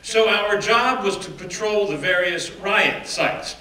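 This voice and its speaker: A man giving a first-person dramatic reading of an oral history, measured and deliberate, often dropping to hushed phrases. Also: high voice. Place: stage or event room. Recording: roomy, distant mic with faint background noise.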